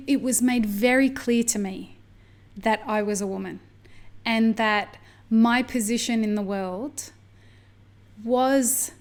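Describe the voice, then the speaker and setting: A woman with an Australian accent hosts a solo podcast, speaking in a slightly high voice. She thinks aloud in a candid, reflective, rambling way and swears now and then. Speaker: podcast host.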